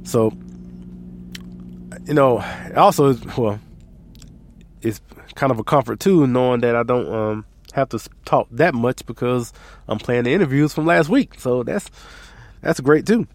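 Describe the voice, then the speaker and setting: A man's voice: medium pitch, a little slow, pauses often. An American man with a stuffy, allergy-congested nose hosts a podcast in a casual, rambling, self-deprecating way. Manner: self-deprecating, chuckles at himself. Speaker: podcast host.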